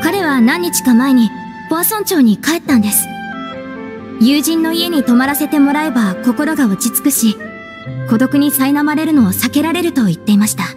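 A woman's voice: high voice, expressive, normal speed. An actress playing a polite, organised young woman of a theatre troupe in a video game, explaining things in order. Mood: earnest, composed. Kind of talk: Japanese video game voice acting. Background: music.

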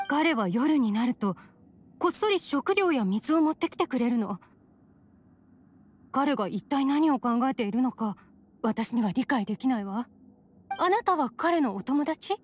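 A woman playing a gentle, caring, anxious mother in an anime game, speaking softly and politely. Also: high-pitched, lively intonation, measured pace, pauses often. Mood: pensive. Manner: soft, polite feminine speech.

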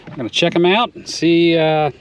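A man's voice: on the high side, normal speed. Man casually showing off his farm. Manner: relaxed, explains step by step with frequent "uh"s. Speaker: farmer showing his aquaponics setup. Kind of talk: casual walk-through narration. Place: outdoors on a farm. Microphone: wearable action camera. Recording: noisy outdoor camera audio. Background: outdoor ambience with running water.

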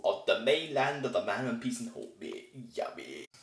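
A loud, rowdy man goofs around in crude comedic roleplay while gaming, tacking "mate" onto almost everything. His gravelly voice swoops wildly up and down. It carries a roomy echo. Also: medium pitch, very animated, normal speed.

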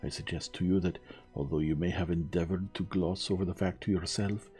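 Male narrator doing a courteous, relentless Belgian detective, dark low tone. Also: steady tone, faint music behind, close to an everyday voice. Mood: probing. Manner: measured, courteous, relentlessly probing. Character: shrewd elderly male Belgian detective, polite but probing.

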